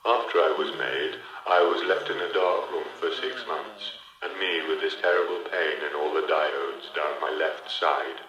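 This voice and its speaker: A man playing a depressed, self-pitying robot who drones out morose complaints in deadpan radio comedy style. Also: a bass voice, moderate pace, hardly any pauses, reverberant, muffled recording. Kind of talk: radio comedy drama acting.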